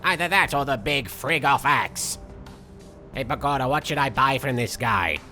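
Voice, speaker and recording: A man voicing an excitable, vain cartoon villain, giving theatrical, petulant, jokey commentary on a video game. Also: mid-range voice, moderate pace, faint music behind. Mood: smug. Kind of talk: in-character let's play commentary.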